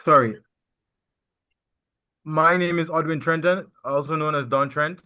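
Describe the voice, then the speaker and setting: A man's voice: fairly high pitch, a little slow, bright, forceful tone, clear, resonant tone. A man from Guyana who works as an attorney, introducing himself formally in an online meeting. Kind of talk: self-introduction. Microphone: video call. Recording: dull lo-fi call audio.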